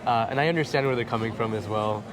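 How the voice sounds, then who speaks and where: A man's voice: medium pitch, fast. A man in his twenties with an American accent, a guest explaining things in casual chat and repeating phrases for emphasis. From Chicago suburbs, United States. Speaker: interview guest, expat in the Philippines. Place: large bright indoor lounge with tall windows. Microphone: clip-on lapel mic. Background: music in the background.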